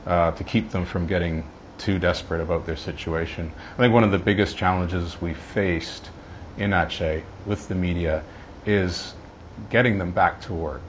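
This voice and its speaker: Male interviewee, deep voice, careful halting delivery, slightly muffled field recording. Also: fairly even intonation. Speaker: media aid worker being interviewed. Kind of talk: interview answer. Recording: slightly dull sound, faint background noise.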